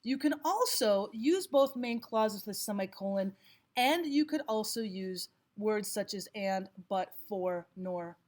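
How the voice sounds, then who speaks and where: A woman teacher giving scripted instructional narration, explaining and reading examples out deliberately. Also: medium pitch, lively intonation. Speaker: language arts teacher.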